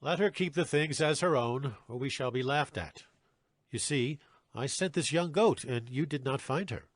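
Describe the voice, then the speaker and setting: An actor playing a stern, authoritative patriarch in a dramatized scripture recording. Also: male voice, medium pitch, moderate pace, no echo, lightly acted. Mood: resigned. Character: Judah, stern commanding patriarch of a clan.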